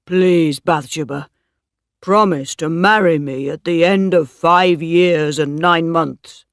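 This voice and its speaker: A man voicing a middle-aged farmer, lovesick and insistent, who talks in slow, earnest pleas. The voice sits fairly high for a man and comes slowly. The recording is dry and close. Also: a bit bright, clean tone, no echo. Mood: pleading. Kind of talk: audiobook dialogue reading.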